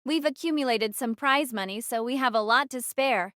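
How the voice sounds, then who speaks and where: An actress playing an earnest, determined young team captain in an audio drama, with a businesslike manner. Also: female voice, on the high side, moderate pace, fairly bright tone, no room sound, studio quality, close to an everyday voice. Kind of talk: anime-style audio drama acting.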